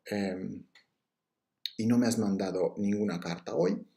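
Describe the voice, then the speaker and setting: A man's voice: lowish voice, a little slow, creaky, warm tone. A man giving a recorded university lecture on logic, explaining step by step with frequent fillers and restarts.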